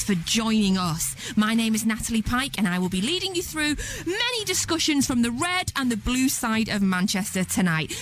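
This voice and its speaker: A woman hosting a radio football show from a studio, upbeat and teasing with her guests. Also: mid-range voice. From Scotland. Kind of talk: radio presenting.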